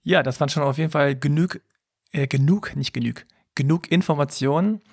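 A man hosting a podcast group chat in a playful, lively, joking way. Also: fairly high pitch, a little fast, breathy edge. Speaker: podcast host.

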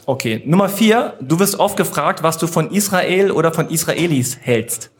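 A playful man hosts an on-stage interview, quick and teasing, throwing questions to the audience between prompts. His voice sits a little higher than most men's. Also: expressive. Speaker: host. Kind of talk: casual live-audience interview.

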